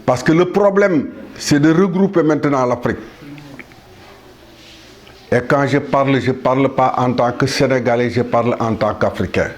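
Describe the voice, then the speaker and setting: A man in his seventies from Senegal, delivering a solemn monologue to the world in a measured, halting way with pauses and restarts. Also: mid-range voice. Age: 70s. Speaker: speaker addressing the world.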